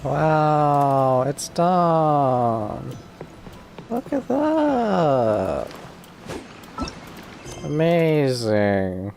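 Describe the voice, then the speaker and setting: A woman giving casual gameplay commentary as a streamer, speaking in a wry, halting manner. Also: deep voice, big pitch swings, vocal fry, darkish tone, a little hiss or hum. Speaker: game streamer. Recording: game music behind.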